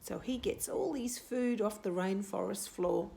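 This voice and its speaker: A woman's voice: medium pitch, unhurried, creaky, mellow. A woman hosting a children's storytime, reading a picture book and explaining it simply with questions for young listeners. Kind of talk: storybook read-aloud with asides to young children.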